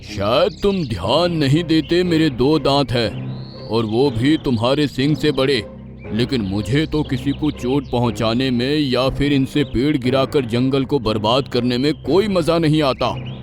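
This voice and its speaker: A man's voice: mid-range voice, unhurried, music in the background, natural voice. A man voicing a grave, righteous big animal in a cartoon story, speaking sternly and reproachfully. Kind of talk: Hindi cartoon story voice acting.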